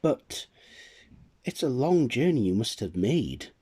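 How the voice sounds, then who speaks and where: A man voicing the Tooth Fairy, a gentle female fairy who collects teeth, speaking softly and kindly. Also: mid-range voice, sing-song, slow pace, slightly rough, pitched above the performer's own voice, heavily acted.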